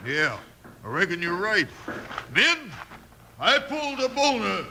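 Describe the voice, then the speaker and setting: An actor playing a well-meaning frontier mayor with an earnest, rustic American drawl, in old-time radio western style. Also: male voice, high-pitched, very animated, measured pace, gravelly, noisy background, exaggerated voice. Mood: sheepish.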